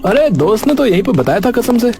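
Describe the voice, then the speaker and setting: A man as a cheeky, lively young brother. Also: very high-pitched, a little fast, vocal fry, noisy background, pitched above the performer's own voice, exaggerated voice. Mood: defensive. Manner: casual, whiny, bantering. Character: cheeky, excitable young brother in a trio of siblings.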